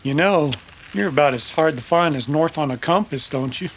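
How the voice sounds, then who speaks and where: A man's voice: fairly high pitch, moderate pace, slight background noise, voice pushed up, natural voice, low-quality recording. A man playing a vengeful, sardonic cowboy in an old-time western radio drama, with wry drawling banter. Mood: smug, wry. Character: vengeful, wry male cowboy tracking his nemesis.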